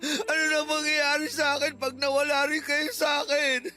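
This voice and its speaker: A man's voice: very high-pitched, level tone, moderate pace, pushed and bright, faint music behind, full character voice. An actor playing a remorseful, spoiled young man in a Filipino radio drama, tearful and begging his nanny. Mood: desperate, pleading.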